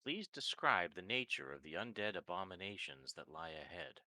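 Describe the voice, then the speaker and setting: A man's voice: deep voice, sing-song, moderate pace, pushed and bright, no echo, heavily acted. A man voicing a terse, mechanical warforged construct that announces each statement type before speaking. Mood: flat, methodical. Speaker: player.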